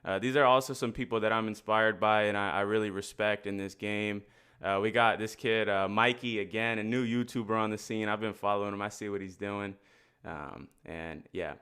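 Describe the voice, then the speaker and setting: A man's voice: fairly low pitch, steady tone, moderate pace, fairly bright tone, smooth. A man hosting a live chat room in a casual, slangy and encouraging manner.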